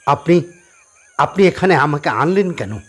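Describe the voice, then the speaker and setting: A man's voice: a little high, animated, unhurried, fairly bright tone, voice pushed up. A man playing a frightened, bewildered man who stammers, in a horror audio drama. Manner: stammering, fearful. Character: frightened, bewildered man confronting an eerie holy man.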